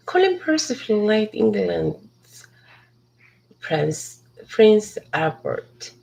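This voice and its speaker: Slow, gravelly, low-voiced woman. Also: sing-song, a little mellow, low-quality recording. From Hong Kong. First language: Cantonese. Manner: halting, restarts and repeats words to correct herself. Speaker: ESL student giving a speech. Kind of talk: rehearsed motivational speech. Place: outdoors in a city park.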